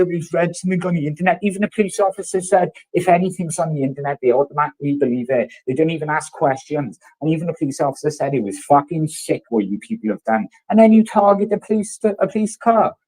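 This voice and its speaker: A man in his thirties from South Wales, speaking, vents at his neighbours in a furious, foul-mouthed rant. His voice sits slightly high for a man and keeps pushing louder as he hammers each point. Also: low-quality recording. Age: 30s. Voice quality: swears heavily, loud and confrontational. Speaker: vlogger. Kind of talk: angry rant to camera.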